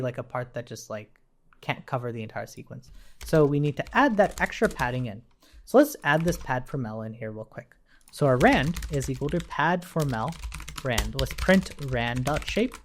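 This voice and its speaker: Lively-pitched man teaching a coding tutorial. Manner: explanatory, thinking aloud, checks in with "right?". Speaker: programming tutorial instructor. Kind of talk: informal screencast coding walkthrough. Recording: faint background noise, keyboard typing clicks. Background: computer keyboard typing and clicks.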